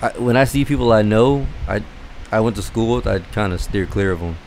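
A man chatting casually as a podcast co-host, relaxed and swearing freely. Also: fairly low pitch, a little fast, slight background noise. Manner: relaxed, swears, laughs often. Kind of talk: casual chat.

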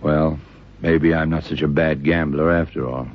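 A man acting a tough, shrewd detective in a radio crime drama, deliberate and hard-boiled, with a deep husky voice. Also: fairly even intonation, noisy background. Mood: dry, satisfied. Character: tough, shrewd male detective exposing a killer. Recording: dull, lo-fi old radio recording.

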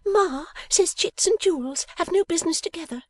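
Shrill teary silly young lady, all gush and sobs. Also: moderate pace, no room sound. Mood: tearful gushing. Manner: breathless, tearful, gushing. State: sobbing. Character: silly tearful young lady, gushing and frantic.

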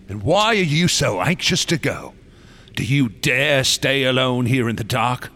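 An actor in a radio drama playing a paranoid, menacing wax sculptor, with a gravelly voice and a sneering, theatrical, taunting delivery. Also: male voice, mid-range voice, animated, a little slow, bright, forceful tone.

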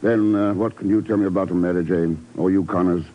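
An actor playing a cool, dry, no-nonsense secret agent in a 1950s radio drama, clipped and wry. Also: male voice, deep voice, fairly even intonation, brisk, natural voice. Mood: dryly suspicious. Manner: clipped, unflappable, wry, probing. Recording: dull, noisy old radio recording.

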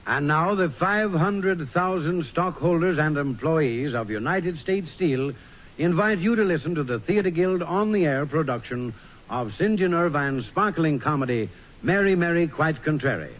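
Higher-pitched vintage radio announcer man. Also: expressive, unhurried. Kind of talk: 1940s formal radio announcing. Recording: dull 1940s radio recording. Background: music.

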